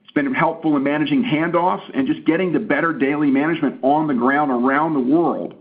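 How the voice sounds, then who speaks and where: A man answering analyst questions as a company executive on a business call, speaking in measured corporate language. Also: fairly high pitch. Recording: narrow, tinny phone line.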